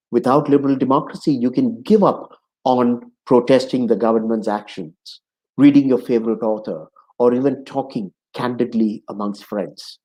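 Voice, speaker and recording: Guy in his 60s hosting a talk show, earnest and measured, kinda dark mellow voice. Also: medium pitch, rough recording. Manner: earnest, measured, speaking in lists. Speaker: host. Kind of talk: hosted conversation opening monologue. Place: home office.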